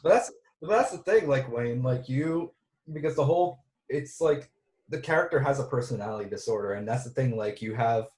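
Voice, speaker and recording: A man's voice: mid-range voice, lively intonation, a little fast, a little mellow, roomy sound. A man, the director of a film, chatting casually at a reunion and rambling with lots of filler words over a video call.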